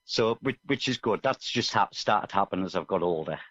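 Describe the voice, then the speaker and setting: A man's voice: deep voice, animated, brisk, fairly bright tone, dull audio. A man chatting casually as a musician guest in an interview, explaining things modestly and a little hesitantly. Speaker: musician guest, singer-songwriter.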